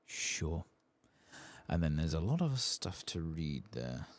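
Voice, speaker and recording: A man with an extremely deep voice talks softly through a game in ASMR style. He murmurs slowly, half to himself, yet his pitch moves about in a lively way. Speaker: ASMR gaming streamer. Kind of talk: soft-spoken ASMR game playthrough commentary.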